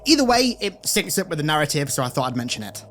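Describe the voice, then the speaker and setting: A man's voice: a little high, sing-song, fast, fairly bright tone, a little hiss or hum. A man hosting a gaming video, delivering casual scripted commentary, talking straight to viewers with wry asides. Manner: conversational, direct address to viewers, wry asides. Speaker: gaming video creator and host.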